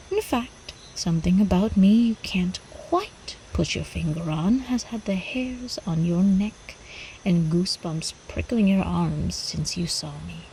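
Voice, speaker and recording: A woman playing a predatory older vampire countess in an ASMR roleplay, haughty, teasing and possessive, with breathy drawling speech that often drops into whispers. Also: on the low side, warm tone, slight background noise, poor audio. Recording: faint night insect ambience behind.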